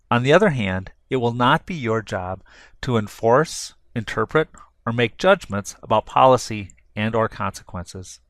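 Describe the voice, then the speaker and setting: A man delivers scripted instructional narration at a mid-range pitch. He sets out each point in a measured, orderly way, as for a training video. The sound is dry, with very little room around the voice. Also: fairly bright tone, dry recording.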